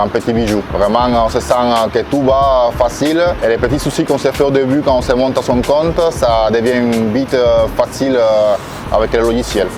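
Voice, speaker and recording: A man, answering interview questions in a casual, hesitant way with many fillers. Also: medium pitch. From Cuba. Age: 40s. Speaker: electrician interviewee. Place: outdoors in a town. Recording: music playing underneath.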